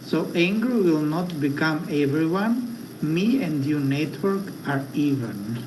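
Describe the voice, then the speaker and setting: A man who is not a native English speaker, speaking haltingly at an online poetry reading, pausing to search for words. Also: fairly high pitch, lively intonation, background noise. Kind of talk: hesitant chat and poetry reading. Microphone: video call.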